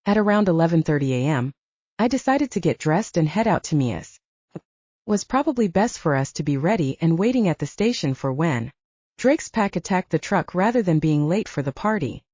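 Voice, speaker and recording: A woman's voice: fairly low pitch, lively intonation, moderate pace, a little mellow, dry recording, highs cut off. A woman narrating scripted first-person fiction as an audiobook narrator.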